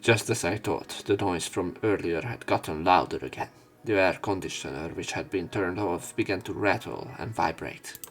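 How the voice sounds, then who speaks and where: A man's voice: low-pitched, moderate pace, few pauses. A man reading visual novel text aloud for a let's play, dropping in casual, sweary asides.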